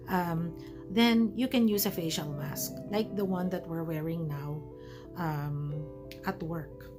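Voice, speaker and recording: A woman from the Philippines with a Filipino accent, talking casually to camera at home while recovering from asthma, pausing often with fillers. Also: lowish voice. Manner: hesitant, many fillers. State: recovering from asthma, feeling cold. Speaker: vlogger. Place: at home, wrapped in a blanket.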